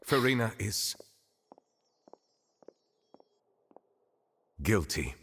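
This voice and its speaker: An actor playing a solemn chief judge in a dramatic game, speaking formally and with grave, measured authority. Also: male voice, lowish voice, big pitch swings, vocal fry, fairly bright tone, breathy edge, exaggerated voice. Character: solemn male chief judge presiding over a courtroom.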